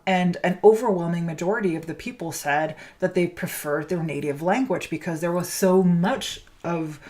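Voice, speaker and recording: A woman's voice: lowish voice, a little mellow. A Danish woman, a native speaker of Danish, talking as a spiritual business coach in an earnest, encouraging way, with many fillers and restarts. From Denmark. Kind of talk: solo podcast monologue.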